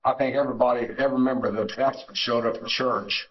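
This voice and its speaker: An older man, a Navy veteran, reminiscing in an interview, halting with many fillers and restarts. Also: mid-range voice, fairly even intonation, muffled recording.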